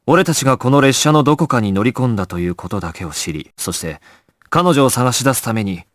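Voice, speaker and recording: A male actor plays a young gangster squad leader from an anime game. He is grave and decisive, issuing clipped orders in a mid-pitched voice. The sound is very dry and close. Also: expressive, a little fast, a bit bright, no room sound, lightly acted. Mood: calm, commanding. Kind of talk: dramatic anime video game voice acting.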